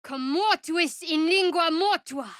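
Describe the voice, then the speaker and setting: A woman playing a guarded young half-elf cleric in a fantasy video game, with a dry, sardonic, measured delivery. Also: very high-pitched, a bit bright, dry recording. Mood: incanting, forceful.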